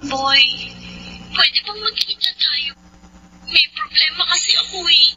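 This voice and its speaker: A woman's voice: sits high, a little slow, pushed and bright, slight background noise, heavily acted, low-quality recording. An actress playing a troubled young woman pleading for help in a melodramatic radio drama. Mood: distressed.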